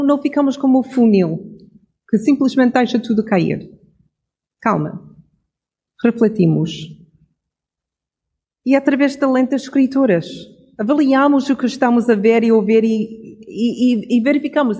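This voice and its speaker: Measured devotional speaker. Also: mid-range voice, darkish tone, slightly rough. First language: English. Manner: reflective, measured, with small self-corrections. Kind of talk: Bible devotional message.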